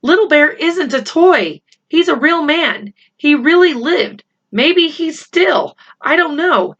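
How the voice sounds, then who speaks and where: A woman voicing an earnest, cautious young boy who explains insistently and haltingly, in a children's storybook reading. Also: high voice, lively intonation, a bit bright, lo-fi sound, pitched above the performer's own voice, heavily acted. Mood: urgent, worried.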